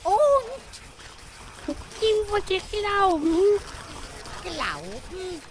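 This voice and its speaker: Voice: very high voice, wildly swooping pitch, very slow, crowd noise, heavily acted. A performer voicing a small taunting creature that draws out its words in an audio drama.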